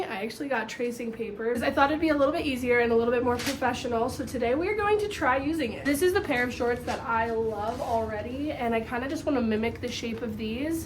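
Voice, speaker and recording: A woman's voice: fairly high pitch, noisy background. A woman in her twenties who is losing her voice after being sick, chatting upbeat and self-deprecating to camera from her home as a sewing vlogger. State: losing her voice, recovering from illness. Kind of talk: casual chat to camera. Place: home kitchen and living room.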